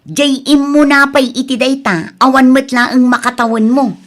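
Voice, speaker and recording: Casting: actress as frustrated, dutiful elder sister around thirty, high voice, Ilocano accent, reproachful audio-drama delivery. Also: female voice, fairly even intonation, normal speed, lightly acted. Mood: exasperated scolding. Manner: exasperated, reproachful.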